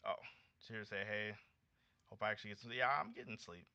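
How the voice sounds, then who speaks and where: A young American man in his late twenties talks casually as a livestream host. His voice is very bright and punchy, with a slangy, animated delivery. The audio is slightly dull and lo-fi. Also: on the low side, fairly quick, clean tone, no room sound. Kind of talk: casual livestream chat with viewers.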